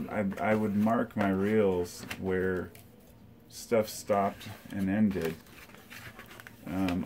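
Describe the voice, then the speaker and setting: A man's voice: lowish voice, a little mellow, slight background noise. A middle-aged man sits in his living room and talks casually through his box of old tapes. He thinks aloud and rambles, with many fillers. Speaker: collector showing his tape reels. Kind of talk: casual show-and-tell. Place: living room by a record shelf, indoors.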